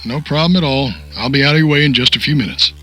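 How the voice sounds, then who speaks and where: An easygoing, businesslike policeman in an audio drama. His mid-pitched voice is bright and he speaks in a matter-of-fact, obliging way. Music runs underneath. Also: fast, low-quality recording. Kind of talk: naturalistic audio drama acting.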